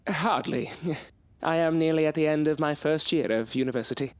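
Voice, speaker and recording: A man plays a young period-drama gentleman, a bookish and headstrong student. His diction is formal and stiffly polite. The sound is close and dry. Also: a little high, brisk, smooth, dry recording, natural voice. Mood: coolly polite. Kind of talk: period audio drama acting.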